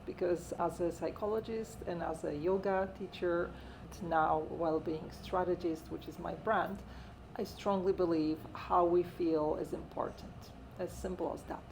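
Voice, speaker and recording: A woman's voice: fairly low pitch, steady tone, moderate pace, darkish tone, a little hiss or hum, rough recording. A Polish-accented woman in her forties, a wellbeing consultant talking at length in an interview, with frequent fillers and an earnest tone. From Poland. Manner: talkative, many "uh" fillers, earnest. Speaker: guest, wellbeing strategist. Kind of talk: interview, personal story.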